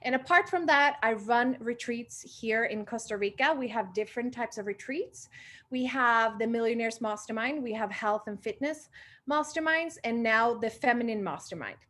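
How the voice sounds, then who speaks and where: A woman in her late twenties from Sweden, a confidence coach talking on a group video call, a little short of breath and pausing for air. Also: on the high side, bright, forceful tone. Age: 20s.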